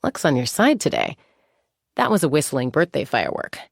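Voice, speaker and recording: A woman voicing a confident, composed young female gunslinger and peacemaker in a video game, with a husky voice and a measured, wry delivery. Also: low voice, huge pitch swings, dry recording, clean studio sound, exaggerated voice. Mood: calm, wry. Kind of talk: scripted game character voice lines.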